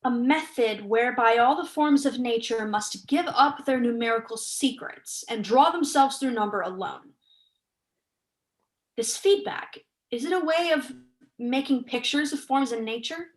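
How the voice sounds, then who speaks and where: A young woman playing a brisk, probing, sceptical writer in a staged play reading. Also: on the high side, lightly acted. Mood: insistent. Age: young adult.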